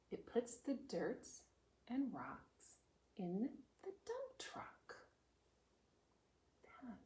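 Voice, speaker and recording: A woman hosting a preschool storytime, reading aloud and chatting expressively, with lots of questions for her young listeners. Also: mid-range voice. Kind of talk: children's read-aloud with chatty explanations. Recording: echoey room with background noise.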